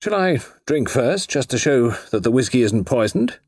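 A man voicing a nonchalant, self-assured gentleman writer in an audiobook, urbane, chatty and a little wheedling. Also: medium pitch, sing-song, moderate pace, slightly rough, no echo, clean studio sound, voice pushed up. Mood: relaxed, joking. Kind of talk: audiobook character voice.

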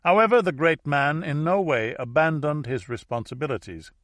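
A man voicing a formal, self-assured Dutch heir who addresses his relatives in a deliberate, commanding way, as in an audiobook thriller. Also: a little high, fairly bright tone, no echo, voice pushed up, natural voice. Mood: smooth, imperious.

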